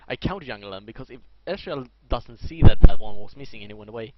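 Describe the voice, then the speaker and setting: A man's voice: mid-range voice, animated, fast, very noisy. A man with a Swedish accent commentating casually on his own video game match, thinking aloud and correcting himself as he plays. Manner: thinking aloud, self-correcting, chatty asides. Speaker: gameplay commentator. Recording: lo-fi mic, loud game sound behind. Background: game sound effects and clicks.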